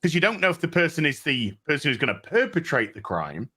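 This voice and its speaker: Male stream host, bright tone, rambling chat, reads donations. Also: on the high side, sing-song, quick pace, breathy edge. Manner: rambling, frequent restarts. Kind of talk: casual livestream chat, reading donations.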